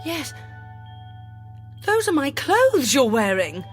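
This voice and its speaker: A woman's voice: sits high, sing-song, slow, soft background music, full character voice. A woman playing a plucky, frightened young adventurer, breathless and alarmed, in an audio drama. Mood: dawning horror.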